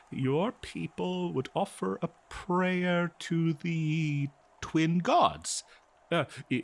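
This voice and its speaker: An eager, kindly researcher acted by a man in an audio drama, with a fairly high voice that swings widely in pitch. He takes his time, choosing words politely and with a scholarly, halting manner. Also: creaky, heavily acted. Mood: hesitant, respectful. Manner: halting, flustered, polite, exclaiming. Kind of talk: scripted audio roleplay, one-sided dialogue.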